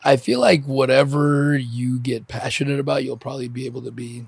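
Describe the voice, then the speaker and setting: A man from Ukraine hosting a casual interview, chatty and quick to interject with jokes. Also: mid-range voice, gravelly, breathy edge, no echo. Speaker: podcast host.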